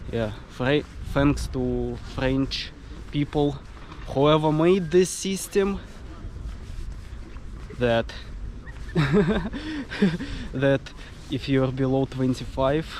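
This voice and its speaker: A young man in his twenties from Russia, speaking English with a Russian accent, talks to his camera in a casual travel vlog, halting and full of fillers and restarts. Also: medium pitch, darkish tone, slight background noise. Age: 20s. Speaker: travel vlogger. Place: sightseeing spots around a city, partly outdoors.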